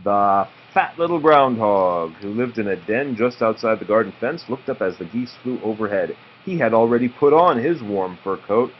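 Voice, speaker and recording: A man reading a children's storybook aloud like a father, with expressive, deliberate phrasing. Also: fairly low pitch. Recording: muffled, faintly noisy home recording.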